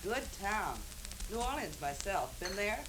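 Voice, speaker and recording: A woman's voice: medium pitch, sing-song, unhurried, fairly bright tone, rough recording. A breezy, flirty American woman, speaking in short slangy phrases with a touch of homesickness. It has the style of 1950s radio drama, with faint hiss under the voice. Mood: breezy, inviting. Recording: 1950s radio recording, hissy background.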